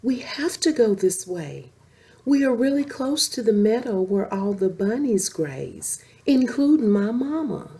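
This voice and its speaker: Excitable young boy bunny, played by a female storyteller, storybook style, pitched up and lively, friendly and eager. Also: a little slow. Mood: explaining.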